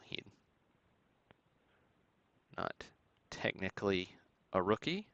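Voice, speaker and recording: A man hosting a live stream, giving matter-of-fact commentary in a halting way with pauses. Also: low voice, sing-song, fairly bright tone, dry recording, lo-fi sound. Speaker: host of a sports card break stream. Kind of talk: live show-and-tell commentary on vintage cards.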